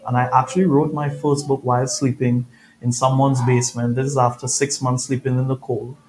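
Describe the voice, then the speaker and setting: Brief: Trinidadian man, reflective interview guest, mid-pitched voice, faint noise behind. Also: poor audio. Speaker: podcast guest. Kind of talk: conversational interview storytelling.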